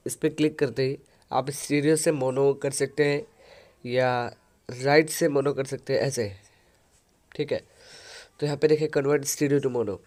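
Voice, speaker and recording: A man hosting a software tutorial, explaining an audio editor step by step into a headset mic. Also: medium pitch. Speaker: tutorial host.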